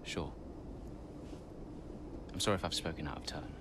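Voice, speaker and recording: A man's voice: a bass voice, normal speed, noisy background. An actor playing a frustrated, principled male racing driver in a video game cutscene. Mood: subdued, guarded.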